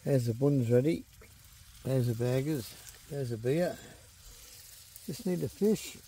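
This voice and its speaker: A man's voice: medium pitch, slow, warm tone, a little hiss or hum, rough recording. A man with a Teesside accent chatting casually and colloquially as he vlogs outdoors. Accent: Teesside English. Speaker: angler vlogger. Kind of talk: casual vlog chat. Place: outdoors on a riverbank at night. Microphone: camera or phone mic.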